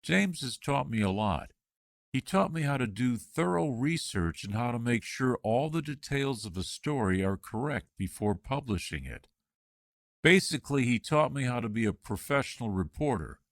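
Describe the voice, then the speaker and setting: A man reading the candidate's part in a scripted practice job interview, with clear, measured delivery. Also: mid-range voice, expressive, no room sound, clean studio sound.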